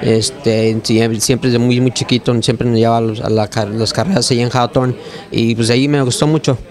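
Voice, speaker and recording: A man's voice: mid-range voice, steady tone, moderate pace, darkish tone. A middle-aged man, a jockey answering interview questions hesitantly with many fillers, in a racetrack clubhouse on a handheld mic. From Mexico. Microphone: handheld mic held by interviewer.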